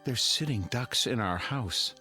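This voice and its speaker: A desperate suburban husband in a radio play. His mid-range voice pleads and stumbles over words with big swings in pitch, over faint music. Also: expressive, measured pace, bright, forceful tone. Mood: pleading. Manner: pleading, stammering. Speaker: actor in a radio drama. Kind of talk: dramatic radio acting.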